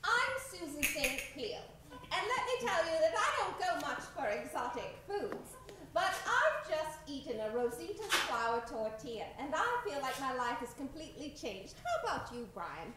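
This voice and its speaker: Actress doing a chirpy forties radio ad lady, gushing, swoopy high voice, echoey stage. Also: sing-song, moderate pace. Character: chirpy 1940s wartime radio tortilla pitchwoman. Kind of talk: parody 1940s radio advertisement. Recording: echoey stage, distant mic, faint noise.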